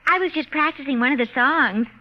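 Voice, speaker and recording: An actress playing an eager, naive young housewife with a chirpy, wheedling manner in a vintage radio comedy advert. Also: female voice, sits high, brisk, close to an everyday voice. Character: ditzy, eager young housewife dreaming of singing stardom. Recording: muffled vintage radio broadcast.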